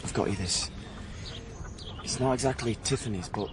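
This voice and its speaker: A man's voice: medium pitch, moderate pace, noisy background, close to an everyday voice. A young man acting a blunt, romantic working-class drifter in a radio play, with colloquial, plain-spoken delivery. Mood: shy. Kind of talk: radio play acting and first-person narration.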